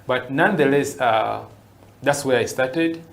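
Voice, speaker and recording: Man, mid-pitched voice, interview guest recalling his past, chatty with fillers. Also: unhurried, creaky. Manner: storytelling, with frequent uhs and repeats. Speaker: interview guest, basketball player. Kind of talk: reminiscing in an interview.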